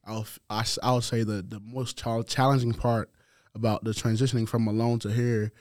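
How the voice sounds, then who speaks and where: A man in his twenties from Haiti, a college football player answering interview questions in a soft-spoken, halting way. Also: medium pitch, little pitch movement, no echo, studio quality. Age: 20s.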